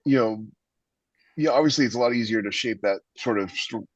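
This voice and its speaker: A man's voice: medium pitch, brisk. A man hosting a podcast about writing science fiction, chatting casually about stories. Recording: slightly roomy, dull muffled sound.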